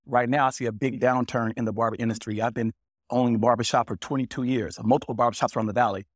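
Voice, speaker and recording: A man's voice: medium pitch, steady tone, fast talker, no echo, clean studio sound. A man who owns barbershops, speaking plainly on a panel discussion and telling stories from his shop. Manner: plainspoken, anecdotal. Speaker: barber and small business owner.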